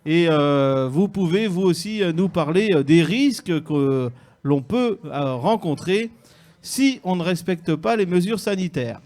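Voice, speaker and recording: A man's voice: fairly high pitch, lively intonation, unhurried, darkish tone. A man hosting a mock radio show at a holiday village, in a pompous announcer tone with frequent hesitations. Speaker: host. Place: holiday village hall with audience.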